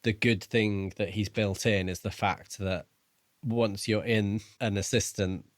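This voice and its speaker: A man co-hosting a podcast, chatting about books in a measured, informal and conversational way. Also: on the low side, steady tone, no echo, clean studio sound. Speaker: book podcast co-host. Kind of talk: casual book discussion.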